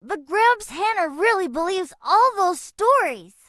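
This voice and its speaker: A woman's voice: very high voice, sing-song, a little slow, fairly bright tone, no room sound, heavily acted. A woman voicing a cocky, skeptical young boy who argues in an emphatic, scornful way.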